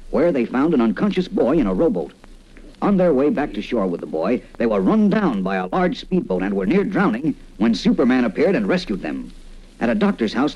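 A man with a husky voice giving dramatic scripted narration as a 1940s radio announcer. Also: medium pitch, warm tone. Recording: dull old radio recording.

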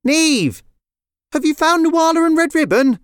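A performer voicing a high-pitched, puzzled little girl. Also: lively intonation, a little slow, no room sound, clean studio sound. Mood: calling out, puzzled. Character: young girl, curious, puzzled, calling for her sister. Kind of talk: children's storybook character voice.

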